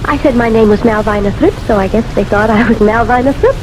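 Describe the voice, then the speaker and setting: A woman's voice: a little high, fast, noisy background, natural voice. An actress playing a bossy, sly, smugly cruel woman who gives orders with condescension in an old-time radio drama. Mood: breezy, sly. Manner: commanding, condescending.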